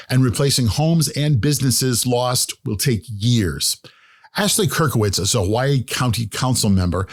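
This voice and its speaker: Male host, scripted intro, measured friendly interviewer, average pitch. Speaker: podcast host.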